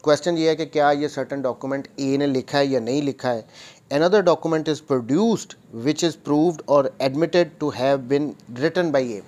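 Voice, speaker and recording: A man's voice: on the high side, slow, darkish tone. A man with a Pakistani accent lecturing on statute, reading out and then explaining in a repetitive, bilingual way. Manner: explanatory, repeats and rephrases points, switches between Urdu and English. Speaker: law lecturer, advocate.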